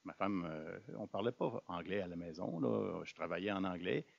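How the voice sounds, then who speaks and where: A man's voice: sits low, normal speed, creaky, no room sound. A man arguing in an academic discussion, hesitant and often repeating his words. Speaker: speaker at a seminar. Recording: dull lo-fi room recording.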